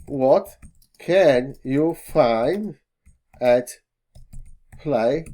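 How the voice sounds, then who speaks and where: A man whose first language is Polish walks through a web browser's features in a screen-recorded tutorial, pausing often with fillers and slightly non-native phrasing. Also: medium pitch, expressive, warm tone. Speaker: tech tutorial host. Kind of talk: screen-recorded software walkthrough.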